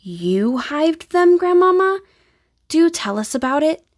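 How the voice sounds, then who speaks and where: A woman plays an eager young child in a children's storybook, curious and full of questions, pitched a little high. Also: sing-song, slow pace, exaggerated voice.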